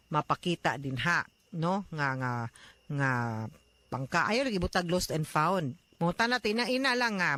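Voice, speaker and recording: A man's voice: sits high, pushed and bright. A man, hosting an advice show and answering a listener's letter in a chatty way, often tagging questions onto his sentences. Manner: chatty, mixing in English, frequent tag questions. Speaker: radio advice host.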